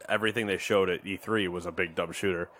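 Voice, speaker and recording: A man's voice: low-pitched, steady tone, quick pace, fairly bright tone. A man co-hosting a gaming podcast in casual chat, rambling and joking, often trailing off into mumbles. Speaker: podcast co-host.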